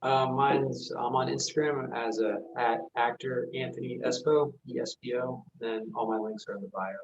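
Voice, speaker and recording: A man's voice: medium pitch, steady tone, normal speed, recorded from a distance, noisy background. Dull, slightly lo-fi call audio carries a man's mid-range voice. He chats casually as an actor taking part in a panel Q&A over video. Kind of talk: casual chat.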